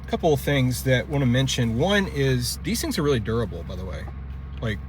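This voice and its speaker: Rambling, halting man reviewing gear casually. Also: mid-range voice, sing-song, slight background noise. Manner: rambling, halting, repeats phrases. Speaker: host of a product review vlog. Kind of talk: casual unscripted product demonstration.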